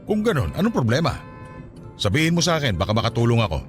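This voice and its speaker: An actor playing a caring, inquisitive male partner in a radio drama, solicitous and gently probing. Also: medium pitch, wildly swooping pitch, fast, soft background music. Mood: concerned, probing. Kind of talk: Tagalog radio drama acting.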